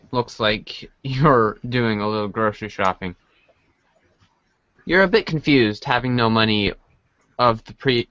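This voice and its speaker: A man's voice: mid-range voice, fairly bright tone, dry recording. Man reading aloud, dry dull-sounding mic. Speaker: podcast reader. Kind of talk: dramatic reading of a webcomic aloud.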